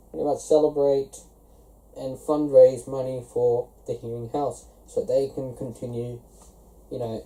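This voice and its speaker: A young man from South Africa talks straight to the camera in a relaxed vlog. His voice is deep-toned and very mellow, sitting at an ordinary pitch for a man. He explains things one step at a time and links his points with easy "so" and "of course" phrases. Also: poor audio. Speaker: vlogger. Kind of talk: casual explanatory vlog talk.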